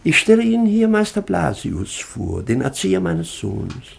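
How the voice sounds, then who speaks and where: Gravelly, pompous fussy baron. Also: male voice, fairly high pitch, huge pitch swings, normal speed, exaggerated voice. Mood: ceremonious. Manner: pompous, ceremonious. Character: formal, fussy, self-important male baron. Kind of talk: theatrical German radio play acting.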